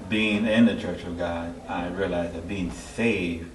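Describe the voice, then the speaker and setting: A middle-aged man from Haiti giving a personal testimony as an interview guest, halting and searching for his words. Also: low-pitched, fairly even intonation. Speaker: interview guest, church member. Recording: echoey, distant mic, clear background noise.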